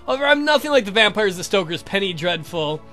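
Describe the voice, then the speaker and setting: A high-pitched gentleman vampire played by a man from a gothic game. He speaks in long, formal sentences, earnest and smitten, over clear background music. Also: big pitch swings, quick pace, exaggerated voice. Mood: earnest confessing. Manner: formal, verbose, confessing. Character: courtly lovestruck male vampire count, formal and earnest.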